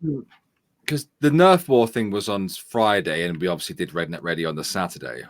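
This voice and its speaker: A man chats over a remote call as co-host. He tells rambling anecdotes in a fairly low voice. The sound is dry and close to the mic. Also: fairly quick, no echo. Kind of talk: casual livestream chat.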